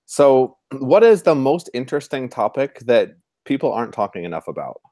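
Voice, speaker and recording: A man's voice: medium pitch, sing-song, normal speed, mellow, dry recording, clean studio sound. A man hosting an interview podcast, posing questions in a casual conversational style.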